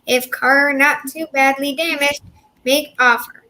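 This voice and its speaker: A woman playing a brisk police dispatcher in an old-time radio comedy, giving clipped official bulletins. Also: sits high, fairly even intonation, sharp tone.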